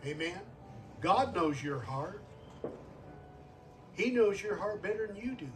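A man in his sixties preaches at a live service in a high voice. His delivery is earnest, circling back over phrases to drive them home. He sounds somewhat far from the microphone, with music clearly behind him. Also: animated, measured pace. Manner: earnest, repeats phrases for emphasis, calls for amens. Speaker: guest preacher. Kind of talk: sermon with altar call.

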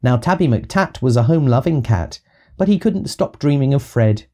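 A man's voice: medium pitch, lively intonation, moderate pace, a loud crowd. A man narrating a children's audiobook, reading rhyming verse rhythmically and expressively. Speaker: storyteller reading to young children.

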